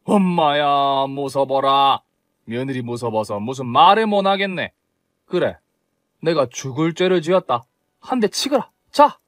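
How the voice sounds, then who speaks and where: A man putting on a sharp-tongued Gyeongsang mother-in-law. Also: on the high side, very animated, measured pace, no echo, clean studio sound, pitched above the performer's own voice. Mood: mocking. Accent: Gyeongsang dialect. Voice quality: scolding, sarcastic.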